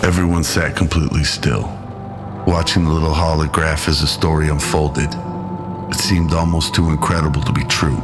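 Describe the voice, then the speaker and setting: A man narrates a scripted audio drama in an extremely deep voice. Music plays clearly behind his storytelling. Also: level tone, moderate pace.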